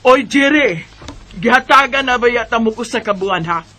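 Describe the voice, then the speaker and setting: Harsh Visayan landlady for radio drama, very sharp forceful voice, curt and impatient. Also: fairly high pitch, normal speed. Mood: stern, scolding. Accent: Visayan Filipino. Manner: scolding, curt. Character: harsh, impatient landlady evicting poor tenants.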